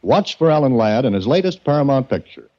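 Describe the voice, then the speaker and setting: A man's voice: medium pitch, big pitch swings, brisk. A man making formal radio announcements for a drama series. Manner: formal, declaiming. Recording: dull old radio recording over music.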